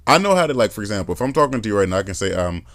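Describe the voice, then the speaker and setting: Animated low-voiced man chatting. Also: rapid-fire, dry recording. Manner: lively, acts out accents, rambling. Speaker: podcast host. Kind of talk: casual chat.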